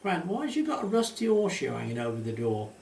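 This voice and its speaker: Man putting on a curious young boy, higher pitched. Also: huge pitch swings, fast, gravelly, a little mellow, slight background noise, heavily acted. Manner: earnest, questioning. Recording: roomy, echoey, distant home recording.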